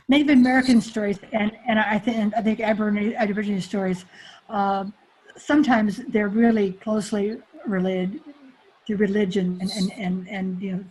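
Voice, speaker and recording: A woman's voice: mid-range voice, darkish tone, a little hiss or hum, low-quality recording. An older woman presenting a webinar over a video call, chatty and reassuring, often repeating her words. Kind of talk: casual discussion on a video call.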